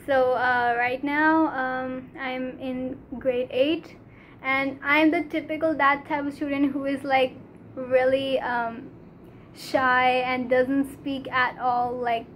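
A teenage girl from Pakistan with a Pakistani English accent, Urdu her first language, talking earnestly to a phone camera in a small room, halting, with many fillers. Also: high voice, flat delivery, fairly bright tone, slight background noise. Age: teens. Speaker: vlogger. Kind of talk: casual talk to camera. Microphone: phone camera at arm's length.

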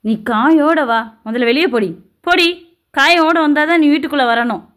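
A high-voiced, cruel stepmother played by a woman from a village family story. She speaks in a harsh, bossy way and nags like someone used to giving orders. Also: moderate pace, fairly bright tone. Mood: harsh, dismissive. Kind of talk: amateur Tamil family story drama.

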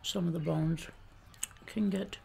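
A young woman playing a hungry, casually menacing jungle giantess in an ASMR roleplay, whispering and murmuring softly, close to the microphone. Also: on the low side, steady tone, full character voice. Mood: calm menace. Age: young adult. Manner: slow, breathy whispering mixed with soft murmurs. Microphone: close microphone in front of mouth. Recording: close mic, noticeable noise and clicks.

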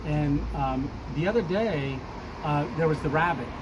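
A man's voice: fairly high pitch, a little slow, heavy background noise. A man in his fifties hosting a casual outdoor interview, talking in a rambling way with many fillers and laughs. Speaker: vlog host. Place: outdoors in front of a shop on a street.

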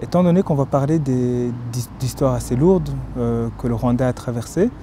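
A man in his thirties interviewing, asking formal questions in a measured, polite way with small restarts. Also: medium pitch, warm tone, slight background noise. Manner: measured, polite, slightly halting. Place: park lawn outdoors.

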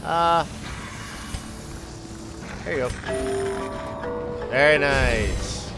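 A man's voice: mid-range voice, extremely slow. A man with a head cold giving casual, chatty commentary while playing a game, cheering his characters on. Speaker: gaming channel host. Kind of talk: casual let's-play commentary. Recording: voice over game music.

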